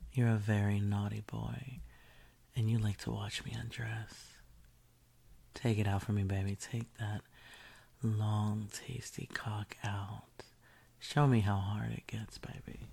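Slow breathy whispering woman. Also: a bass voice, mellow. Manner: teasing, commanding, much whispering. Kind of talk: intimate whispered audio roleplay.

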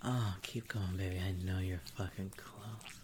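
A woman doing an intimate whispered audio roleplay, teasing and commanding in a breathy whisper close to the mic. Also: extremely low pitch, steady tone, measured pace.

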